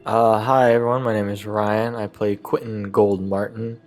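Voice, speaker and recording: A man's voice: lowish voice, level tone, normal speed, darkish tone, soft background music. A man who plays in a tabletop role-playing game, talking casually. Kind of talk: casual chat.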